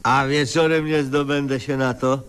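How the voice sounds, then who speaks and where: An actor playing a grumpy, lazy, dim oaf with curt deadpan grumbling in a comedic radio play. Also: male voice, mid-range voice, moderate pace, slight background noise, lightly acted. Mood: glum, deadpan. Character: grumpy, dim, lazy male oaf, stubbornly self-important.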